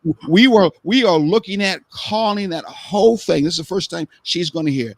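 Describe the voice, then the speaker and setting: A man's voice: sits high, moderate pace, no room sound. A man hosting a live-stream talk show, speaking earnestly and rambling with repeated words. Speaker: host.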